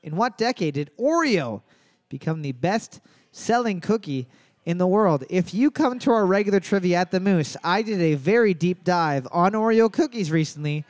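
A man with a high, very lively voice hosts a trivia night and jokes between questions. He reads the choices aloud and spells them out slowly. The sound is close and dry, with no room echo. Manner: animated, spells out answers slowly. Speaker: trivia host. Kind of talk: live bar trivia hosting with jokes.

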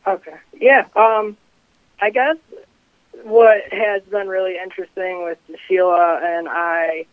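A man in his twenties speaking as a company co-founder, halting and hedging with fillers. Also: sits high, steady tone, clean tone, no room sound. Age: 20s. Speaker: CBD company co-founder guest. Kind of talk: casual interview talk. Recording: dry tinny phone line.

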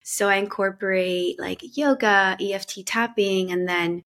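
A woman's voice: mid-range voice, steady tone, measured pace, fairly bright tone. A woman from Colombia, a guest on a podcast, telling her life story in a casual, reflective way with frequent filler words. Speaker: podcast guest, women's mentor.

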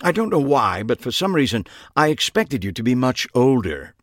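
A man's voice: mid-range voice, sing-song, normal speed, no room sound, clean studio sound, pitched above the performer's own voice, natural voice. A man voicing a tall, eccentric, disdainful British journalist in an audiobook, with an affected, pompous accent. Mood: curious, formal.

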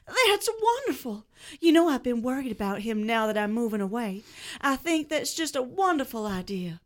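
A woman's voice: fairly high pitch, big pitch swings, normal speed, pitched above the performer's own voice. A woman voicing a good-hearted, exclaiming young ranch woman in a story read aloud. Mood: delighted.

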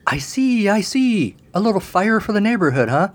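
A man voicing an oily, grandiose fire salesman creature in a comic audio story, pitching with theatrical flourish. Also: sing-song, moderate pace. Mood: grandly eager.

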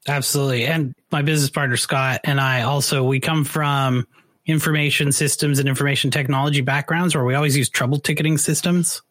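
A man's voice: medium pitch, steady tone, fairly quick, a bit bright, dry recording, clean studio sound. A man hosting an interview, talking in an easygoing, hedging way and asking questions. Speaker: interviewer, podcast host. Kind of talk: casual interview chat.

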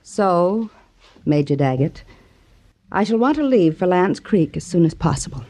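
A woman's voice: lowish voice, very animated, a little slow, mellow. A proud and determined widow of an army officer in a 1950s radio drama. She speaks formally and imperiously, with a bitter, cutting edge. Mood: cool insistence.

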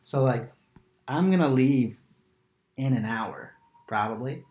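A man's voice: medium pitch, expressive, slow, darkish tone. A young man co-hosting a podcast in casual chat, laid-back and laughing often. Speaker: podcast co-host. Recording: echoey room.

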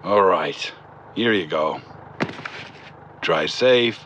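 Suspicious border cop, lowish voice, slow n terse, muffled sound. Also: male voice, expressive, a bit bright, slight background noise, full character voice. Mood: stern, suspicious. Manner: terse, interrogating. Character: curt, suspicious male border officer questioning travelers. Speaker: border officer. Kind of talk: audio drama acting.

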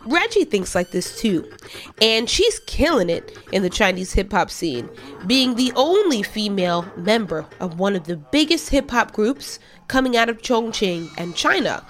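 Woman podcast host, late twenties, stuffy congested nose, pitch swings widely, scripted narration. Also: sing-song, normal speed, soft background music. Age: late 20s.

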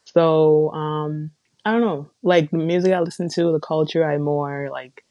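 A young woman born in Nigeria and raised mostly in the United States, chatting casually and haltingly as an interview guest. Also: sits low, steady tone, dark tone, no echo, muffled recording. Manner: hesitant, halting. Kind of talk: casual chat.

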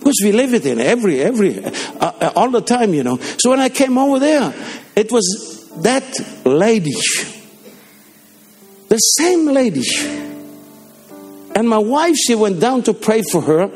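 A man preaching in a church, his voice gravelly and fairly high. He swings widely in pitch as he tells stories and exhorts the congregation, with faint instrumental music playing behind him. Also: big pitch swings, measured pace, pauses often, darkish tone. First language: Danish. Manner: fervent, storytelling, with rising exhortations. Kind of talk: sermon. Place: church service.

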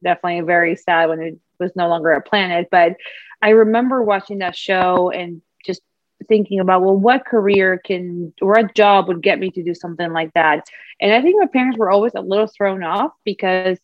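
A woman's voice: lowish voice, fairly quick, no room sound. A woman from Peru, a career coach, answering interview questions in an earnest, reflective way. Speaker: career and executive leadership coach. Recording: dull lo-fi dry sound.

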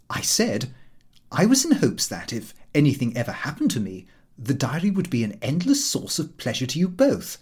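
Animated, slightly husky male narrator. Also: mid-range voice. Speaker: audiobook narrator. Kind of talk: expressive scripted narration of a comic diary.

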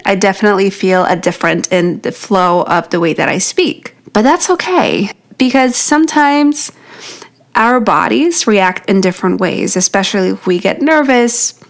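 A woman's voice: medium pitch, animated, normal speed, creaky. A woman in her thirties with a New York accent, a native Polish speaker, hosting a solo podcast and encouraging listeners directly while a little out of breath. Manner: encouraging, talks straight to the listener. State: out of breath from pregnancy. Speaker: podcast host. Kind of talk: solo podcast teaching tips.

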